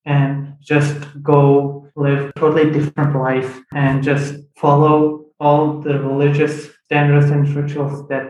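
A man's voice: a little high, completely flat delivery, darkish tone, slight background noise. Young man explaining, echoey muffled sound. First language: Punjabi. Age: young adult. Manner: thoughtful, measured, uses many fillers like "you know". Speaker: podcast guest. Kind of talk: reflective conversational explanation.

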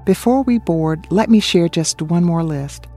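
A woman co-hosting a Bible study, reading scripture aloud in a measured, deliberate way. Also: fairly low pitch, warm tone, faint music behind.

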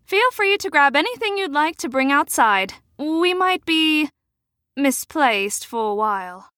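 A woman's voice: sits high, very animated, unhurried, pushed and bright, no echo, full character voice. An actress playing a genteel older woman chaperone in an audio drama, sweet and theatrical with a faint menace. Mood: polite, firm.

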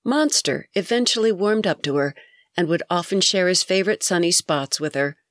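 A middle-aged woman hosts her own show, her voice a bit low and stuffy from a cold. She reads a personal story in a reflective, gently wry way. The recording is dry and close, with no room sound. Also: animated, moderate pace, no room sound. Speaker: podcast host. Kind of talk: reading a personal essay.

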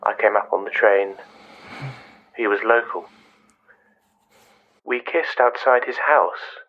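An actor playing a wistful, controlling, quietly menacing man in a radio play, speaking in measured, fragmented phrases. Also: lowish voice, lo-fi sound. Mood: wistful, detached. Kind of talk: radio play monologue and dialogue.

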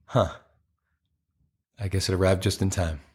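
A persistent romantic man with a breathy, husky edge to his voice. He sounds sincere and imploring. The acting is natural and intimate, as in an audio play. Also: low-pitched, steady tone, measured pace, darkish tone. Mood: hopeful, soft. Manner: sincere, pleading.

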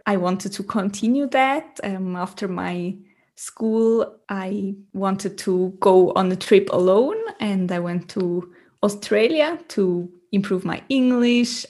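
Slow-talking woman, halting. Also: mid-range voice, darkish tone. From Switzerland. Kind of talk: casual interview talk in a second language.

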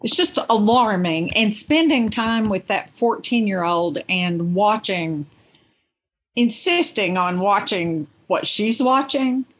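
A woman with a mid-pitched voice talks at a very slow pace. She sounds like a guest in a reflective book conversation, offering her own reading of the fiction. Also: expressive, a bit bright. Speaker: podcast guest, reader.

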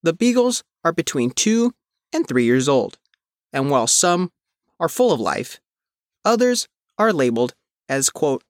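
A man reading a news article as its reporter, in measured, phrase-by-phrase scripted narration. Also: a little high, very animated, dry recording, studio quality. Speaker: reporter reading their own written article.

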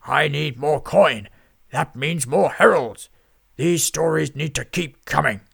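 A man voicing an enormous, greedy lord who runs a newspaper, booming and barking orders at his staff in a storybook reading. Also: slow, creaky, a bit bright, husky, no room sound, clean studio sound, full character voice.

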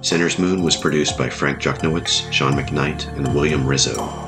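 A man's voice: a bass voice, moderate pace, a bit bright, faint music behind. A man reading scripted end credits as an announcer for an audio drama.

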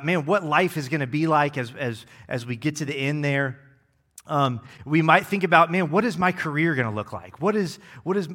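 A young man preaching a sermon as executive pastor, relaxed and joking, with many fillers. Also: a little high. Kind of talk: casual sermon. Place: church.

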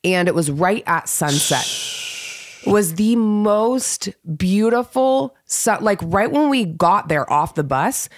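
A woman in her thirties chats on a podcast, her voice roughened and raspy from a recent illness. She tells stories in an animated, conversational way. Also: mid-range voice, takes it slowly. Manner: animated storytelling, laughs often. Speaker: podcast co-host. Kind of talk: casual chat.

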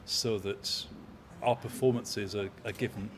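A man in his sixties, an opera company manager, talking in a measured, anecdotal way in an interview. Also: lowish voice, steady tone, noisy background. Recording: crowd chatter in background.

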